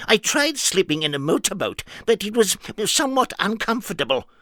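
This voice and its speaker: A man voicing a cheerful, muddled little nonsense man in a storybook, in a high squeaky put-on voice. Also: big pitch swings, brisk, sharp tone, dry recording, studio quality, full character voice. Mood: matter-of-fact. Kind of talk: storybook reading.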